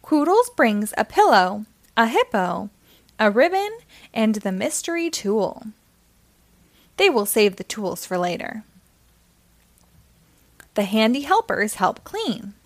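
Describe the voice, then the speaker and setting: A woman reading a story aloud to young children in an expressive, deliberate way with simple phrasing. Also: fairly high pitch.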